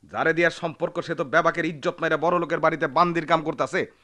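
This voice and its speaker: Feverish, impulsive village man, rather high voice. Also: steady tone, quick pace, sharp tone, no echo. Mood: angry. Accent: rural Bangladeshi. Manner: impassioned, pleading, repeats himself. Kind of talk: Bengali radio drama acting.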